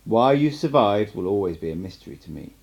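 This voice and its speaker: A man's voice: lowish voice, lively intonation, brisk, gravelly, darkish tone, muffled recording. A man voicing an assured, cryptic sorcerer mentor in an audiobook, with an emphatic, instructive manner. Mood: solemn, emphatic.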